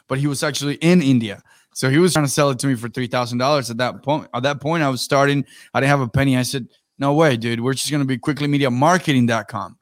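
Young man, podcast co-host, relaxed chat, mid pitch, dry close sound. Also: dry recording.

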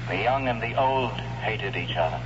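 An actor playing a despairing man giving a last testament, solemn and deliberate, in old radio drama style. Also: on the low side, animated, lightly acted. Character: despairing man David, recording a last testament as bombs fly. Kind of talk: 1970s radio drama acting. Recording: muffled, noisy old radio recording, long reverb.

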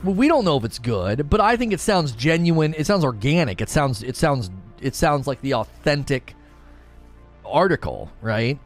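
A man's voice: a little high, very animated, normal speed, soft background music. Rambling, enthusiastic male streamer host. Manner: enthusiastic, rambling, repeats himself. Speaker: livestream talk show host. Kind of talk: casual livestream chat reading viewer comments.

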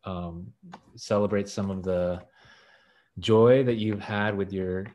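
Slow, low-voiced man interviewing. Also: fairly even intonation, a little mellow. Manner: halting, searching for words.

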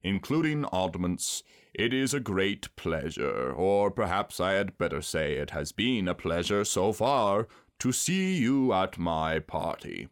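A man's voice: deep voice, sing-song, clean tone, exaggerated voice. Man voicing a ponderous, gloomy old-fashioned donkey. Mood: pompous. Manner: ponderous, drawn-out, sardonic speech-making. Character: gloomy, pompous, self-pitying male donkey.

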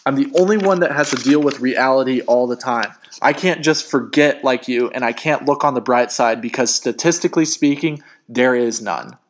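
A man's voice: mid-range voice, steady tone, fairly quick, lightly acted. A man voicing a bitter, irritable man arguing in a story, sarcastic and emphatic. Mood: angry, ranting. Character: bitter, irritable, argumentative man in a breakup fight.

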